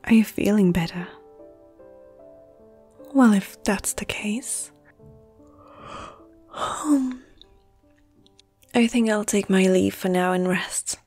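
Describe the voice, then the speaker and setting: A woman acts out a gentle, flirtatious vampire lady of noble blood in an ASMR roleplay. Her delivery is slow and intimate, with a mellow, slightly husky softness that often slips toward a whisper. She teases tenderly. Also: mid-range voice, soft background music. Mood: sleepy, hopeful. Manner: soft, intimate, teasing, often dropping to a whisper.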